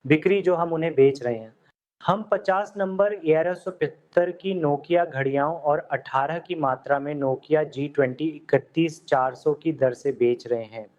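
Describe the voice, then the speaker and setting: A man with a somewhat high voice walks the viewer through accounting software one step at a time. He often asks what comes next and then answers it himself, like a tutor talking over a screen recording. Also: poor audio. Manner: step-by-step instructions with questions to the viewer.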